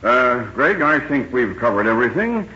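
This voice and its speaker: Avuncular older businessman, mid-pitched and lively, genial, 1940s radio drama, dull broadcast recording. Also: moderate pace. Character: genial, brisk older boss, married father.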